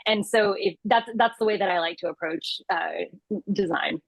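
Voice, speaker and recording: Woman in her 30s, breathy low-ish voice, podcast guest, lots of um and you know, dry sound. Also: normal speed, no room sound. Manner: hesitant restarts, many fillers. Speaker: guest, customer insights lead. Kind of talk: interview conversation.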